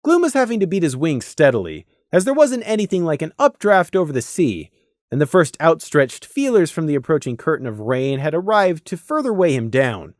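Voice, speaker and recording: A male storyteller narrates fantasy fiction with animated, wide-moving intonation. The recording is close and dry, and the delivery is clearly scripted. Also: on the high side, a little fast, dry recording.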